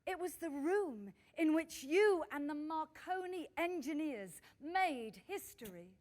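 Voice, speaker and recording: An actress playing a polite, admiring museum host who interviews a guest in an earnest, welcoming way in a radio drama. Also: female voice, high voice, lively intonation, measured pace, darkish tone.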